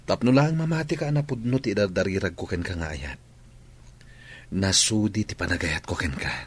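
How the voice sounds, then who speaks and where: A man's voice: low-pitched, animated, moderate pace, slight background noise. A man playing an ardent, persistent suitor in a radio comedy drama. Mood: pleading. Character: ardent, persistent male suitor proposing marriage.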